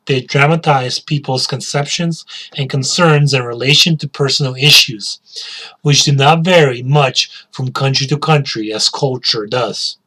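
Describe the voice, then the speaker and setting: A man's voice: medium pitch, bright, forceful tone. A male teacher giving a spoken English lesson, asking learners questions and repeating key words.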